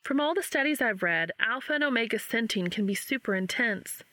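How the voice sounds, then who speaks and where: Woman acting a perky, high-voiced young researcher. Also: animated, brisk, pushed and bright, no echo. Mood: upbeat, careful. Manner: upbeat, frank, patient. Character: perky, teasing young woman running a social study.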